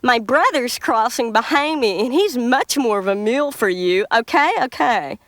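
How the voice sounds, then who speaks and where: Storybook middle billy goat, female reader: male, earnest, imploring, shift upward in pitch. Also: expressive, normal speed, a bit bright, dry recording. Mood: pleading. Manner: pleading, earnest. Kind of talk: storybook character voice.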